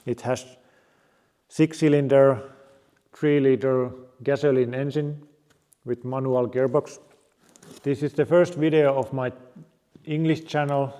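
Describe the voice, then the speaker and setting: A Finnish-accented man talks at an unhurried pace in a soft, mellow voice, his English plain and a little halting. His speech carries a long reverberation from a bare home garage. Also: mid-range voice, fairly even intonation. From Finland. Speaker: car restoration vlogger. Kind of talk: casual presentation to camera.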